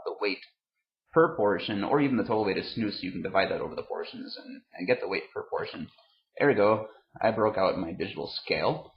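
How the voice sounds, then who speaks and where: A man in his thirties giving an unscripted, rambling product review to camera, halting often and repeating his words. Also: on the low side, creaky, slight background noise. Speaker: vlogger reviewing tobacco products. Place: room at home, indoors. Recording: dull, roomy webcam sound.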